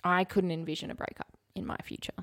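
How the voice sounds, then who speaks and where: A woman's voice: fairly low pitch, little pitch movement, fast talker, creaky, sharp tone, dry recording, clean studio sound. A young woman co-hosting a podcast in casual conversation, candid and wry, repeating things for emphasis. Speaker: podcast co-host. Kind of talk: casual conversational chat and storytelling.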